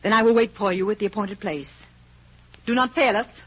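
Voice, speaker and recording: A woman's voice: medium pitch, moderate pace, a bit bright, slightly rough. A woman playing a stern, dutiful maid who is a secret go-between in a 1930s radio drama, formal and ominous. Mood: commanding. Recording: muffled, noisy old 1930s radio recording.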